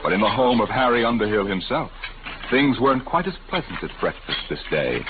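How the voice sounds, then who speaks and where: Male 1950s radio narrator, theatrical and grave, low voice, band-limited broadcast over loud music. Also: very animated, a little fast, narrow, tinny audio. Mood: grave, intriguing. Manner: portentous, theatrical. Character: dramatic male radio narrator of science-fiction tales. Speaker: announcer and narrator.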